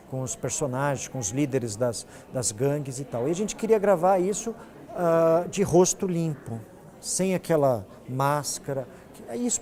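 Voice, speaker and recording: A Brazilian man gives explanatory interview answers in a fairly high voice with a very mellow, relaxed tone. He speaks as a news director and drives points home by repeating his phrases.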